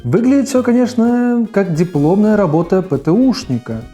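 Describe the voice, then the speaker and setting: A man's voice: sits high, animated, moderate pace, music in the background. A man giving scripted video narration as host, mixed with his own personal commentary on old cartoons.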